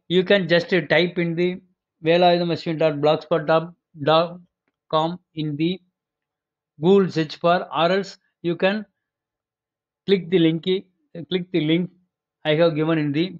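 A man from Chennai with a Tamil accent hosts his channel in a casual voice-over. He is polite and instructive, and he talks haltingly, repeating himself and correcting himself as he goes. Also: fairly high pitch, dull audio. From Chennai, India. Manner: repetitive, halting, with many self-corrections; polite and instructive, addresses the listener as sir. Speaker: channel host giving property auction advice.

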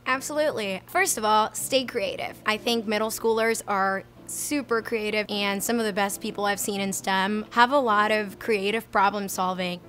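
A woman in her thirties from Puerto Rico, an engineer answering interview questions with clear, earnest explanations, recorded on an earbud mic in a lab storeroom. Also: mid-range voice, steady tone, moderate pace, few pauses, fairly bright tone. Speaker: research and development engineer. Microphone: earbud headset mic. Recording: music bed underneath.

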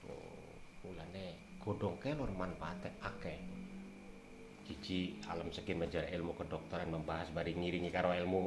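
Older man, low deep voice, rambling folk storyteller, roomy echo. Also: steady tone, normal speed. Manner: rambling, emphatic swings in loudness. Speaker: storyteller elder. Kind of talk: casual folk talk on herbal lore. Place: small room with green backdrop, seated on sofa. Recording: roomy echo with faint hiss.

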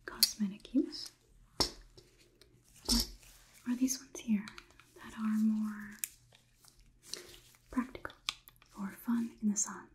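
A young woman playing a polite, curious, clinical robot overlord in a whispered ASMR roleplay, with measured, formal delivery. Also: medium pitch, fairly even intonation, a little mellow, a little hiss or hum, lightly acted, poor audio. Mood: curious, deliberate. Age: young adult. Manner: slow, measured, deliberate, formal wording. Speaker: ASMR performer.

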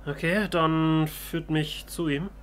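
A man's voice: a little high, fairly even intonation, takes it slowly, slight background noise. A man doing improvised in-character let's play commentary, with casual, hesitant phrasing. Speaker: let's player.

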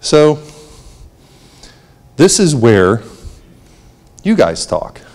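A man speaks to an audience through a handheld mic in a library auditorium. He talks in a relaxed, conversational way with a joking edge, at an ordinary middle pitch. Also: extremely slow. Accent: New England. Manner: conversational, jokey. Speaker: presenter. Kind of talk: public presentation.

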